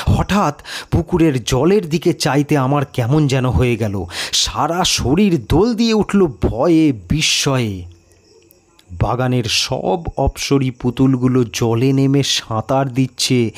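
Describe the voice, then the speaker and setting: A man narrating an eerie mystery story in a dramatic, suspenseful and expressive way. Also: medium pitch. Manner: expressive, suspenseful, with rhetorical questions.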